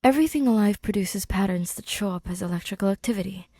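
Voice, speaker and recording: A woman playing an excited male scientist in an audiobook, explaining a theory emphatically and thinking aloud in pauses. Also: mid-range voice, natural voice. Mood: earnest, thoughtful.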